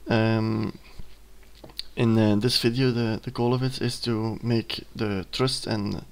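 A young man in his twenties, a native Dutch speaker with a Dutch accent, hesitantly talking through a screen-recorded software tutorial, with many ums and self-corrections. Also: lowish voice, little pitch movement, slow, gravelly. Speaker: student giving a tutorial. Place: at a computer, indoors.

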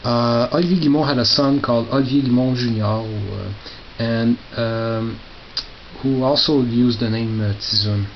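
A middle-aged man giving casual, halting commentary as he reads out titles and mutters asides. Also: steady tone. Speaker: record collector showing his collection. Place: small tiled indoor room by storage crates. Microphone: handheld camera held by the speaker. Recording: muffled, noisy low-grade camera audio with clicks.

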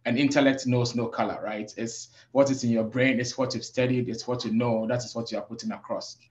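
A man from Africa who works as a safety professional, speaking on a webinar panel in a measured, reflective way with many pauses. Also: mid-range voice, flat delivery, highs cut off. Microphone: video call.

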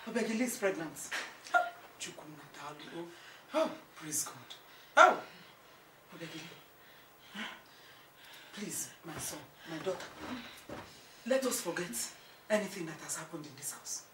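A woman's voice: deep voice, sing-song, normal speed, pauses often, exaggerated voice. A dramatic elderly Nigerian mother, echoey. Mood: amazed, contrite. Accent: Nigerian (Igbo). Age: older. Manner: emphatic, repetitive, exclaiming. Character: elderly aggrieved, fiery, dramatic village mother-in-law. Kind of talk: melodramatic film acting. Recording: roomy, distant mic with loud noise.

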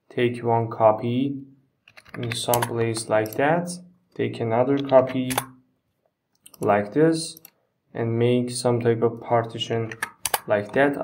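Slow-spoken man teaching software, mellow voice. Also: mid-range voice, far from the mic, slight background noise. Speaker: software tutorial instructor. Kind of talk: step-by-step screen tutorial narration.

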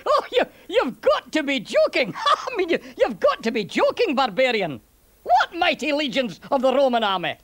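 High-pitched little Scottish centurion. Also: male voice, huge pitch swings, normal speed, a bit bright, dry recording, heavily acted. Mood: amused, proud. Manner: chatty, chuckling, repeats himself. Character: small, proud, chuckling male Roman centurion puppet. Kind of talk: children's puppet comedy.

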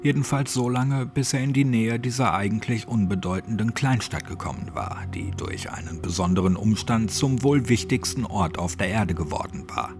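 A man with a fairly deep voice narrates a scripted horror story in audiobook fashion. Music plays clearly behind his reading. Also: moderate pace, hardly any pauses.

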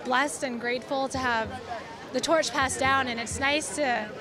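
A woman's voice: high-pitched, steady tone, unhurried. A young adult woman, a cantor, answering in a bubbly way into an interviewer's handheld mic on a crowded arena floor. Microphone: handheld mic held by interviewer. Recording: crowd chatter behind.

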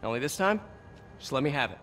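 An American actor voicing a friendly, encouraging young superhero mentor in a video game, with a casual coaching manner. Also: male voice, mid-range voice, sing-song, fast, fairly bright tone, slight background noise.

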